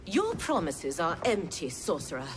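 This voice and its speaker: A woman's voice: fairly low pitch, huge pitch swings, moderate pace. A woman playing a stern, guarded warrior in a video game, speaking in formal, challenging phrases. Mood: scornful. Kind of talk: video game cutscene acting. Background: loud dramatic music.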